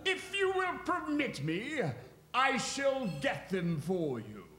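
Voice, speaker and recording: An actor playing a stiff, ceremonious royal footman in a theatrical radio play, with pompous, drawn-out delivery. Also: very high-pitched, wildly swooping pitch, sharp tone, echoey room, heavily acted. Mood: pompous, formal.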